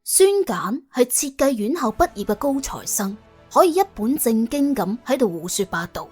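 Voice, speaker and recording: A woman with a Cantonese accent narrating a comic crime story in a colloquial, wry, expressive way. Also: on the high side, normal speed, breathy edge, soft background music. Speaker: audiobook narrator.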